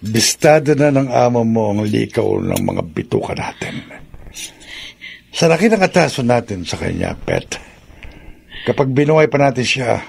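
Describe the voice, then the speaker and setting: An actor playing a ruthless, menacing male criminal accomplice in a horror radio drama, with a gravelly, husky voice. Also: medium pitch, very animated, moderate pace, a little mellow, heavily acted. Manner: menacing, coldly decisive.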